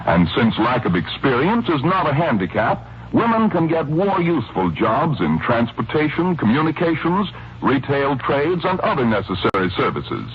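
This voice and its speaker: An American man announcing a formal, emphatic 1940s radio public appeal, on a dull, hissy old recording. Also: mid-range voice.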